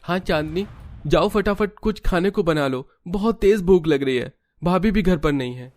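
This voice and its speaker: A man's voice: sits high, moderate pace, darkish tone, no echo, clean studio sound, lightly acted. A man voicing an earnest young son and husband in a Hindi cartoon family story. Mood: demanding.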